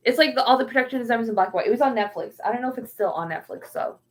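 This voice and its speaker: A woman's voice: fairly high pitch, lively intonation, racing. Rambling young woman chatting on stream. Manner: rambling, trails off searching for words. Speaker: streamer reading chat. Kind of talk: casual livestream chat.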